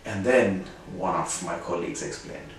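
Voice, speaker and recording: A man's voice: sits low, normal speed. A middle-aged man from India with an Indian English accent, telling a personal anecdote in a conversational way and closing with a lesson. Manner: animated, conversational, moral at the end. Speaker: storyteller host. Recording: very echoey room, distant mic, faint music.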